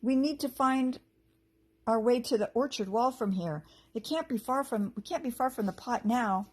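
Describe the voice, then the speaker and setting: A woman's voice: fairly high pitch, lively intonation, normal speed, husky, pitched above the performer's own voice. A woman voicing a tiny, kind girl fairy in a storybook, reassuring and unruffled. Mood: urgent, grateful.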